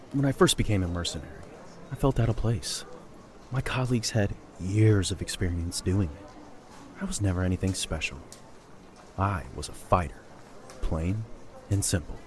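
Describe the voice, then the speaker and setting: A man playing a kind, earnest young mercenary in an audio drama. He talks in a warm, reassuring way. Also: low voice, slight background noise, close to an everyday voice.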